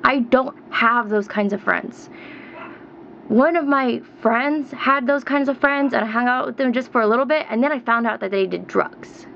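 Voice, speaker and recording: A woman in her twenties ranting to camera in her own vlog, emphatic and repetitive, her pitch jumping up as she stresses her points. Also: moderate pace, creaky, a bit bright, dull audio, a little hiss or hum. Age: 20s. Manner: emphatic, repeats herself, rising pitch. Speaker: vlogger. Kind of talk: heated rant to camera.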